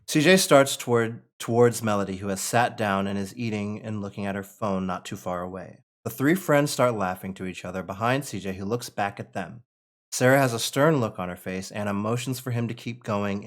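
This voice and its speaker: A man's voice: lowish voice, normal speed. A man narrating stage directions as part of a script table read.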